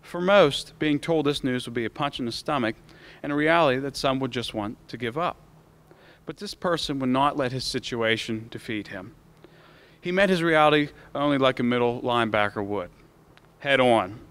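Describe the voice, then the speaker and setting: A man's voice: medium pitch, normal speed, a bit bright. Young American man giving a graduation speech. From United States. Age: late teens. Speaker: graduating student speaker. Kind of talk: prepared commencement speech. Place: school auditorium stage. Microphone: podium microphone.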